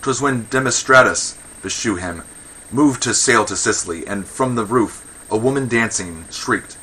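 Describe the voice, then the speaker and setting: A man playing a blustering, pompous old magistrate, husky-edged. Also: fairly low pitch, normal speed, sharp tone, rough recording. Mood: indignant. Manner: blustering, declamatory. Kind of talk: verse comedy read aloud.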